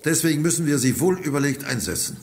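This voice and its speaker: An actor playing an authoritative older military commander in an audio drama, firm and deliberate. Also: male voice, on the high side, animated, creaky. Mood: firm, strategic.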